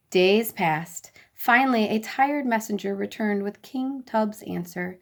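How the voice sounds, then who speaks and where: A woman storyteller reading a children's bedtime story in a deliberate, expressive way. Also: medium pitch.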